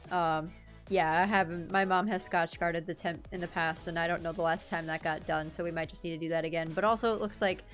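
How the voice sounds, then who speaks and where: A woman in her twenties chatting casually with her live chat, rambling and laughing often. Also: lowish voice. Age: 20s. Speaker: streamer.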